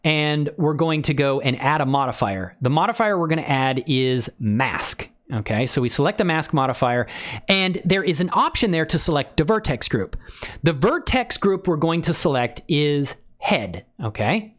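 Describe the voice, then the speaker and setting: A man hosting a casual live software tutorial, exclamatory and given to interjections. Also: on the high side, lively intonation, moderate pace. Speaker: livestream tutorial host.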